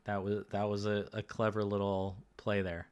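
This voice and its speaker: A man with a somewhat low, slightly hoarse voice talks through a card game match by himself. He keeps it relaxed and loose, pausing often with "uh" and "um" and restarting sentences as he thinks aloud. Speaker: game commentator and podcast host. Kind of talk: solo casual match commentary.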